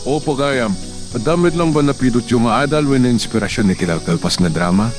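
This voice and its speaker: A man's voice: medium pitch, expressive, a little fast, music in the background. A man giving an upbeat outro for a drama channel, mixing in English phrases.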